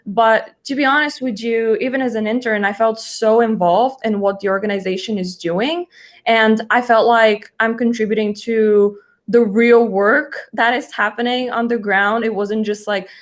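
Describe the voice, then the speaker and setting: A woman's voice: on the high side, steady tone, moderate pace. Young woman, conversational guest speaker. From Bulgaria. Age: 20s. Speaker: guest, junior research analyst. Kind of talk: conversational account of own work.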